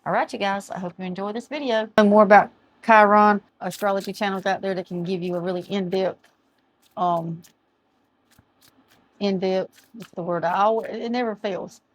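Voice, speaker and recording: A middle-aged woman, chatting through a tarot reading and reading aloud from a card book, her voice going hoarse as she often loses her words. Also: mid-range voice, fairly even intonation, moderate pace, a little hiss or hum. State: losing her voice. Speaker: tarot reader. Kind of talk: casual tarot reading to camera. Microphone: camera mic, speaking to camera.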